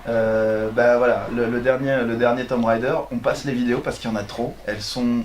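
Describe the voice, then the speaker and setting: A man's voice: mid-range voice, level tone, brisk, a little hiss or hum. A man talking as a guest on a radio show, hesitant and often restarting his sentences. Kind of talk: casual radio chat.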